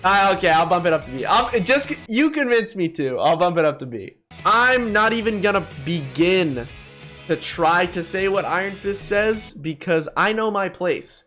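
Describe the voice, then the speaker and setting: A man's voice: high voice, normal speed, faint music behind. A young man giving casual, opinionated, joking commentary as he ranks things in a gaming video. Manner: animated, joking, mildly profane.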